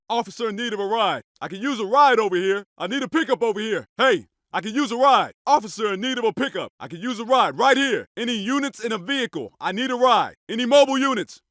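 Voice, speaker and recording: An actor playing a tough, urgent American police officer in a combat video game, speaking in clipped, forceful barks. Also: male voice, very high voice, lively intonation, fast talker, few pauses, no room sound, clean studio sound, heavily acted. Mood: businesslike. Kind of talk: short shouted combat barks.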